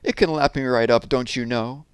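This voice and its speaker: Man putting on an anxious, high-pitched river. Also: normal speed, clean tone, no room sound, studio quality, lightly acted. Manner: high, emphatic rhyming verse. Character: anxious river afraid of a thirsty bear.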